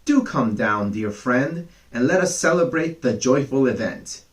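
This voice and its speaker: A man's voice: mid-range voice, animated, measured pace, lightly acted. A man voicing a crafty male fox who gushes with false friendliness in a bedtime storybook reading. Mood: gushing. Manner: gushing, eager, coaxing.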